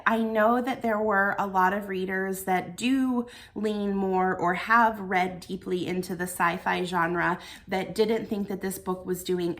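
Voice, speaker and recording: A woman's voice: medium pitch, a bit bright. An American woman giving a casual book review to viewers in an earnest, reflective, conversational way. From United States.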